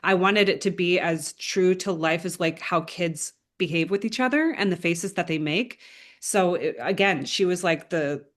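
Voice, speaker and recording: A woman's voice: lowish voice, normal speed. A woman filmmaker answering interview questions in an informal, earnest way. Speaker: animator and filmmaker being interviewed.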